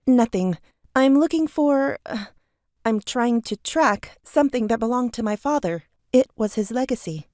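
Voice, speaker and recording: Actress doing guarded magician lady in therapy, higher voice, clipped n evasive, really dry sound, audio drama. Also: expressive, moderate pace, darkish tone, no echo, natural voice. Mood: guarded, irritated. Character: guarded, irritable adult woman magician in therapy. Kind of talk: scripted audio drama acting.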